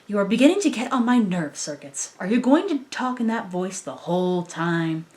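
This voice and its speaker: A woman's voice: mid-range voice, sing-song, moderate pace, darkish tone, lightly acted. A woman playing a practical, mildly exasperated female android partner to a cartoon detective. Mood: annoyed.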